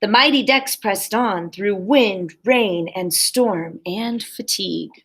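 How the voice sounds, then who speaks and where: A woman's voice: mid-range voice, takes it slowly, fairly bright tone. A woman kindergarten teacher reading a picture book aloud expressively for young children, with simple explanatory asides.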